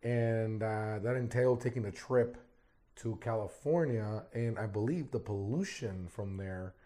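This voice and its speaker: Male podcast host, warm dark tone, rambling solo talk, voice still recovering. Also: lowish voice, unhurried. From Arizona, United States. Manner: rambling, repeats words often. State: recovering from lost voice.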